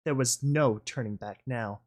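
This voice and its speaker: A man reading a first-person story aloud as a dramatic narrator, brooding and deliberate. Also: medium pitch.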